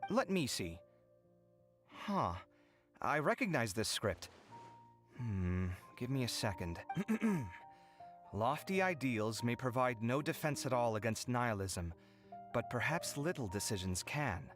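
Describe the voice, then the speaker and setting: A man's voice: medium pitch, sing-song, measured pace. An actor playing a dramatic, earnest young man in an anime game, theatrical and articulate. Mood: focused. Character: dramatic young male architect, debt-ridden, earnest daydreamer. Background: game music.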